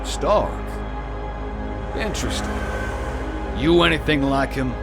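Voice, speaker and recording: An actor playing a gruff, demanding drill instructor with a barking, challenging delivery in a sci-fi drama. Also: male voice, on the high side, lively intonation, slow pace, over loud music, full character voice. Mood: brusque, barking. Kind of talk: science fiction audio drama acting.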